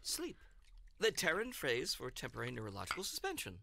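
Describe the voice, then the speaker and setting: A woman's voice: a bass voice, wildly swooping pitch, brisk, full character voice. A woman playing a male alien disciple in a zany sci-fi audio comedy, groveling and desperate, with stilted formal phrasing. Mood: stilted, literal. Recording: clearly noisy track with clicks.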